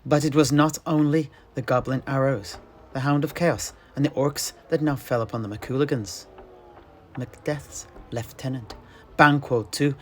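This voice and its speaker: Theatrical male fantasy storyteller, noisy recording. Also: mid-range voice. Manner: theatrical, deliberate phrasing with pauses. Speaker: storyteller guest in an in-character fantasy chat. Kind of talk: dramatic in-character fantasy narration.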